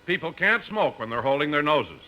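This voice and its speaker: An actor playing a genial, blunt announcer and comic foil in a 1950s radio comedy. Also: male voice, a little high, big pitch swings, fairly quick, muffled recording. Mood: genially blunt.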